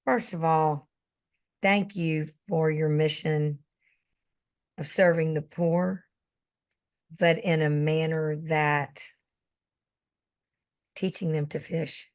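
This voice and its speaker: A woman's voice: deep voice, fairly even intonation, slow pace, long pauses. A woman hosting an interview podcast, thanking her guest earnestly and asking him to share his story. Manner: earnest, warmly appreciative phrasing. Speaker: podcast host. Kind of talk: interview questions.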